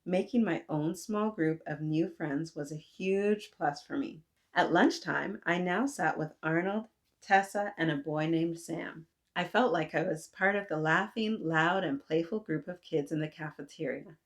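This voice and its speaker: A woman reading a children's storybook aloud, gently and expressively, with little asides to her young listeners. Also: low-pitched, measured pace. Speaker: children's book reader.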